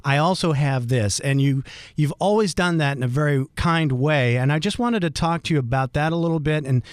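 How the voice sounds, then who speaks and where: A man's voice: brisk, clear, resonant tone, no room sound, studio quality. Man asking long, thoughtful questions. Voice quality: baritone. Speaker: podcast host. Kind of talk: podcast interview.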